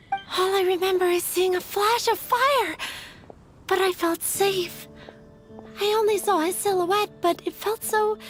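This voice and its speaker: Very high-voiced lovesick young girl. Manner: wistful, hesitant, dreamy. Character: lovesick young flower girl, dreamy and flustered. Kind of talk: video game character acting. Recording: music behind the voice.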